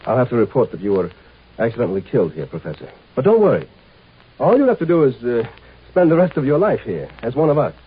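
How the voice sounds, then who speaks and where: An actor playing a shrewd, condescending native agent posing as an imperial marshal, in a 1950s radio drama. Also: male voice, medium pitch, very animated, fast talker, husky. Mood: coolly reassuring. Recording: muffled, tinny 1950s radio recording.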